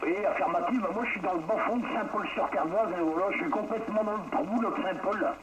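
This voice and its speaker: A man from northern France heard over two-way radio, chatting casually as an operator and pausing to search for words. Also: sits high, a bit bright. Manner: hesitant, halting, pauses to search for words. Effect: two-way radio transmission. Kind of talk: casual radio contact chat. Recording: noisy CB radio transmission with static.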